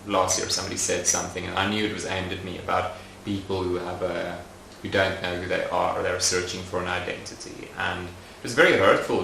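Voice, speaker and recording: Young adult man, documentary interviewee, low pitch, gravelly, unhurried and reflective. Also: a little hiss or hum. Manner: thoughtful, soft-spoken, frequent fillers. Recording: roomy echoey recording.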